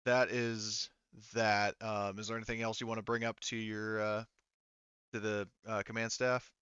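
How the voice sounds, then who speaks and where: A man explaining rules as game master of a tabletop roleplaying game, haltingly, thinking aloud and correcting himself as he goes. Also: lowish voice, level tone, a bit bright, clean tone, no echo. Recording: slightly muffled, band-limited voice audio.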